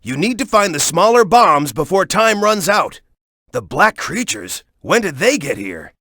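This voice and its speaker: Game role: tough young action hero, brash and urgent, forceful animated delivery, dry close sound. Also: male voice, sits high, measured pace, no room sound, exaggerated voice. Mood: pressing, urgent. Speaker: video game voice actor. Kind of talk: video game character voice clips.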